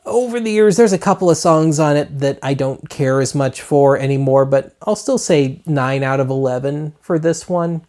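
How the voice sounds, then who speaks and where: A man's voice: on the high side, moderate pace, hardly any pauses, dark tone. Casually rambling man hosting a show-and-tell. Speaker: host of a retro media web show.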